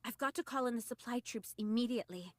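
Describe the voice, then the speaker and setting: A woman's voice: a little high, fast talker, no room sound, natural voice. A woman playing a composed, commanding young android squad leader in an anime-style game. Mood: grave, resolute. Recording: music playing behind the voice.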